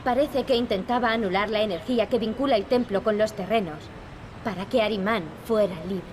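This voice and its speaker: An actress playing an earnest, determined young princess in a video game. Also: female voice, medium pitch, fairly quick, noisy background, natural voice. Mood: serious. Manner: earnest, serious. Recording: loud game music behind. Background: music.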